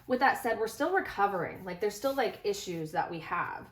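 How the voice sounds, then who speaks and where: A woman's voice: mid-range voice, animated, moderate pace. A young woman from California chatting casually, with many fillers and restarts, while dressing a doll, her voice a little stuffy from being sick. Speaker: vlogger, reborn doll collector.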